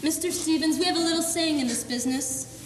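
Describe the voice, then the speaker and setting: A woman's voice: high voice, quick pace, soft background music. A teen girl playing a wisecracking lady private eye. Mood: wry. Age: teens. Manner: dry, clipped noir patter. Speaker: student actor. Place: high school stage. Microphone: distant camera. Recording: echoey school auditorium from far off, noisy.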